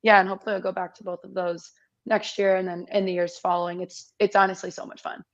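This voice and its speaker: A woman in her twenties with a slightly raspy voice, chatting upbeat as a guest in an interview over a video call. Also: medium pitch, little pitch movement, fast talker, dull audio. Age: 20s. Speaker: competition MC, guest. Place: small indoor room.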